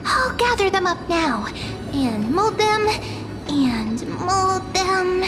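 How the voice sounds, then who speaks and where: An extremely high, slow little voice over music, a cute fairy creature from a video game. She is sweet, cheerful and soothing in a cutesy way. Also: sing-song, exaggerated voice. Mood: tender.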